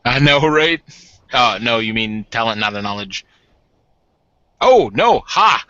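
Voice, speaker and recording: Bright, animated man playing a tabletop game. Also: medium pitch, slow pace, no echo, dull audio. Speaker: tabletop roleplay player. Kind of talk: casual, improvised game narration.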